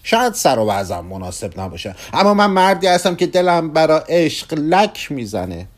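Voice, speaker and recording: A man's voice: a little high, big pitch swings, voice pushed up. A man doing a whiny, love-hungry professor. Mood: pleading, restless. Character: restless middle-aged male professor craving romance.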